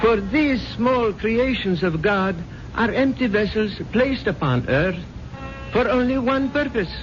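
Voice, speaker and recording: An actor playing an impressive, solemn spiritual preacher, delivering grandiose measured oratory in a 1970s radio drama. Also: male voice, sits high, animated, faint music behind. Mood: solemn, exalted. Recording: dull 1970s radio broadcast recording.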